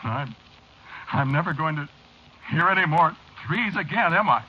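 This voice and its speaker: A man's voice: fairly high pitch, lively intonation, slight background noise, lightly acted. A man acting a frightened, pleading man, old radio. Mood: haunted dread. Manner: agitated, pleading, halting. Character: frightened, desperate man begging a bartender to talk. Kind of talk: 1940s radio horror acting. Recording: muffled old radio broadcast with music behind.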